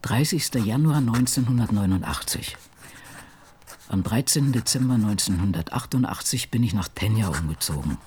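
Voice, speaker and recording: A woman's voice: a bass voice, expressive, normal speed, mellow, a little hiss or hum. An actress playing a dour novelist in her sixties, musing in a dry, brooding way in a radio play. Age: 60s. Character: dour older woman novelist musing over her life. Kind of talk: radio play monologue, diary reading.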